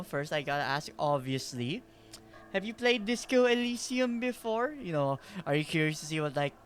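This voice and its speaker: A man's voice: sits high, a bit bright, slight background noise. A young man from the Philippines with a Filipino accent, streaming a game and chatting in a rambling, self-deprecating way. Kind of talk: casual game-stream chat.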